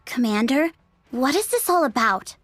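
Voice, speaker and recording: A sassy, grumbling young woman soldier in a video game. Her voice is high and very animated, dramatic and sarcastic, recorded dry with faint music behind. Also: normal speed, dry recording, heavily acted. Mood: puzzled. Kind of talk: English video game voice acting.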